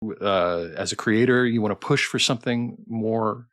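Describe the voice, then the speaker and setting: A man's voice: on the low side, normal speed, fairly bright tone, no room sound, clean studio sound. A man hosting a casual livestream interview, effusive and encouraging with the guest. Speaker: stream host, interviewer.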